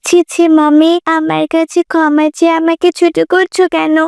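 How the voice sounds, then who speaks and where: A woman playing a meek, gentle orphan girl with a creaky childlike voice in a cartoon. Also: very high voice, flat delivery, a little slow, no room sound, clean studio sound. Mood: humble, forgiving. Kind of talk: cartoon voice acting.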